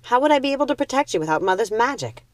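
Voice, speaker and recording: A woman voicing a calm, pragmatic young witch sister in a storybook, measured and matter-of-fact. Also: fairly high pitch, dry recording, voice pushed up. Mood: reassuring.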